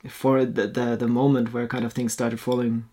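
A man's voice: mid-range voice, level tone, normal speed, mellow. A man who grew up in Norway and speaks Norwegian as his first language, a painter talking in a casual interview, reflective and hesitant with many restarts. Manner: reflective, hesitant, with frequent restarts and light laughs. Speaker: guest, painter.

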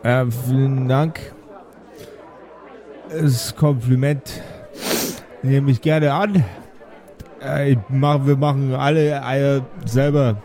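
A man playing a courteous, matter-of-fact cook with metal limbs, formal and measured, in a tabletop audio game. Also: mid-range voice, steady tone, warm tone, a faint crowd.

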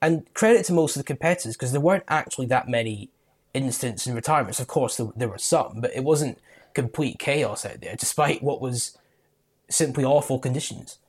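A man's voice: medium pitch, fairly quick, husky. A young man from Scotland co-hosting a rally podcast, explaining things thoughtfully with light laughs. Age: young adult. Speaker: podcast co-host. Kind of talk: casual podcast chat.

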